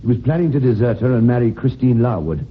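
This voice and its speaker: An actor playing a calm, deliberate doctor explaining himself in an old-time radio drama. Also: male voice, medium pitch, husky. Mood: calm, explanatory. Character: calm doctor who explains catching the culprit. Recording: tinny old radio recording.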